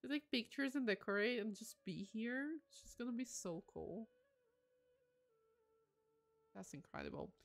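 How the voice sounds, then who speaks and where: A woman live-streaming a game, talking casually to her viewers. Her pitch swings widely and expressively as she gushes and exclaims over what she sees. She sounds excitable and informal. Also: measured pace, pauses often, faint music behind. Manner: excitable, gushing, exclaims often.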